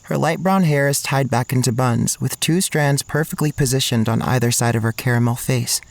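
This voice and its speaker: Young male lead played by a man: awkward, anxious college freshman narrating a drama, mid-pitched, over music. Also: normal speed, creaky, dry recording, close to an everyday voice. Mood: anxious, watchful. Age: young adult. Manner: hesitant, stammering in dialogue. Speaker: narrator and main character. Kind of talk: first-person audio drama narration.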